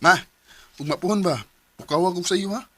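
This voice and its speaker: A man's voice: high-pitched, fairly quick, music in the background, close to an everyday voice. A man doing a cheerful teenage son. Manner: lively, affectionate, laughing easily. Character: teenage son, cheerful motorbike-taxi driver. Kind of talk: radio drama acting. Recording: noisy background.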